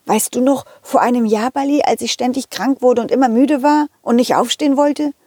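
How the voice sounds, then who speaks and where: A woman's voice: high voice, steady tone, normal speed, warm tone, dry recording, studio quality. A woman voicing a confident, loyal male dog in a children's story, encouraging, eager and friendly. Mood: eager, thoughtful.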